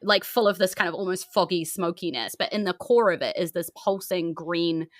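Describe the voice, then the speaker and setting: A woman's voice: lowish voice, moderate pace, no room sound, clean studio sound. A woman improvising vivid, informal narration as the game master of a tabletop game.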